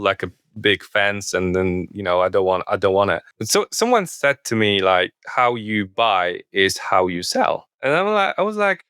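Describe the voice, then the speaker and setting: A man with a Polish accent, speaking English as a second language as a podcast guest, halting with many restarts. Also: fairly low pitch, fairly bright tone, clean tone, dry recording, studio quality. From Poland. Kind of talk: podcast interview.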